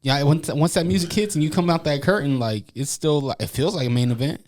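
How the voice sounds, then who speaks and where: A man's voice: on the high side, brisk, a little mellow. An American man hosting a podcast, asking questions in an informal, slangy way. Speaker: podcast host, interviewer. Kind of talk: casual podcast chat.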